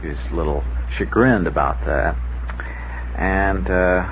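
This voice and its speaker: An American man from Illinois telling a rambling anecdote as a radio interview guest, hesitant, halting and self-deprecating. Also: sits low. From Illinois, United States. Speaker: guest, author and old-radio collector. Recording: muffled 1960s radio recording, faint noise.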